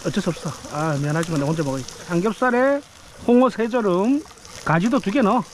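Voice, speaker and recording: A man's voice: high-pitched, animated, brisk, a little hiss or hum. A man vlogging on a rocky riverbank, chatting and exclaiming to himself as he eats and fishes, recorded on a head-mounted camera. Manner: chatty, exclaiming, talks to himself while eating and fishing. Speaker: fishing vlogger. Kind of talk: casual outdoor vlog narration. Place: rocky riverbank outdoors at night and day. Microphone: head-mounted action camera.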